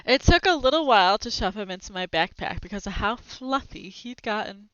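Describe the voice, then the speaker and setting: Animated woman reading a children's story aloud. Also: mid-range voice, a little fast, clean tone, no room sound, dull audio. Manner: animated, showing pictures as she reads. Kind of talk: expressive children's book read-aloud with brief asides.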